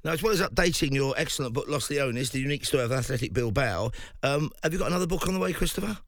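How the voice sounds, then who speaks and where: A man hosting a live radio talk show, brisk and jokey with his guests. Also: mid-range voice, lively intonation, fairly bright tone, no echo, studio quality. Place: radio studio.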